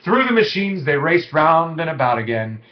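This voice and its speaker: A man's voice: fairly high pitch, big pitch swings, normal speed. A man reading a children's rhyming story aloud, with theatrical cadence and big shifts in volume. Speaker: storybook reader. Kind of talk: dramatic children's story narration. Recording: muffled lo-fi, echoey room.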